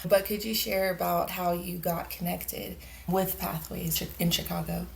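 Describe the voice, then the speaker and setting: A woman's voice: on the low side, level tone, normal speed, gravelly, a little hiss or hum, low-quality recording. A woman hosting a podcast, reading a scripted introduction and then asking encouraging interview questions. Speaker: podcast host.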